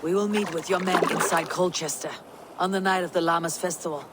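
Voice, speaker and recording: Low-voiced, commanding Viking woman played by an actress. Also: steady tone, very noisy, natural voice. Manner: measured, authoritative, direct. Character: steadfast, commanding female Viking warrior leader. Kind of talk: video game cutscene acting.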